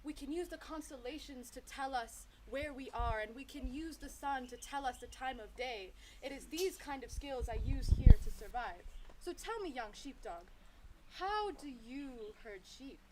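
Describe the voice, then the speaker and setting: Storybook elephant mentor, played by a woman: high voice, kindly, wise, thoughtful, faint noise behind. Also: expressive, normal speed. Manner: thoughtful, gently questioning, encouraging. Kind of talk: children's story dialogue.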